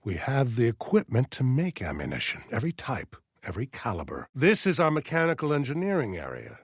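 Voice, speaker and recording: A middle-aged militia colonel for a video game, a religious zealot with absolute command. His delivery is grandiose and deliberate, with wide swings in pitch. The recording is dry and close. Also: wildly swooping pitch, husky, no room sound, full character voice. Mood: proud, authoritative. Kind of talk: video game voice acting, oratorical.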